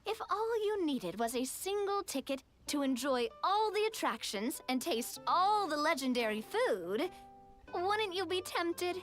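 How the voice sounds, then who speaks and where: Very high, animated little game sidekick. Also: female voice, measured pace, faint music behind, exaggerated voice. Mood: enthusiastic explaining. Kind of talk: video game dialogue.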